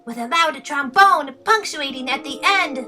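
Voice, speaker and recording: A woman voicing an earnest, plucky young boy musician in a fan audio drama, eager and quick to bristle. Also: high-pitched, animated, music in the background. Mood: eager, inventive. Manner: eager, boyish delivery.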